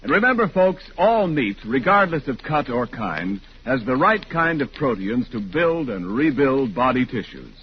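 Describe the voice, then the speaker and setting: A man's voice: medium pitch, very animated, moderate pace, few pauses, a little hiss or hum. A man reading scripted sponsor announcements in 1940s radio announcer style. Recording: muffled old radio recording, faint music.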